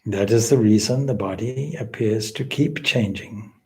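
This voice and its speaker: A man leading a guided meditation as a spiritual teacher, speaking in measured, deliberate, solemn phrases with long pauses. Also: on the low side.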